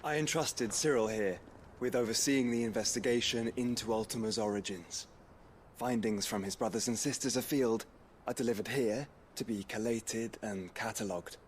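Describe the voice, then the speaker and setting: A man plays a young fantasy game archduke with a mid-pitched voice. He is composed and refined, commanding quietly in measured, formal speech. Faint noise sits behind the voice. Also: natural voice. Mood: calm, commanding.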